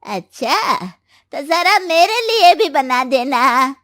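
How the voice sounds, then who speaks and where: A woman voicing a demanding middle-aged mother-in-law with a commanding, drawn-out delivery. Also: high-pitched, very animated, fairly bright tone, no echo, studio quality, pitched above the performer's own voice, exaggerated voice.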